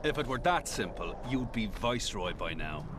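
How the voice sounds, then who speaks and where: A proud, cold nobleman in a fantasy game, haughty and clipped, his pitch moving in lively swings. Faint outdoor ambience hangs in the background. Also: moderate pace, noisy background.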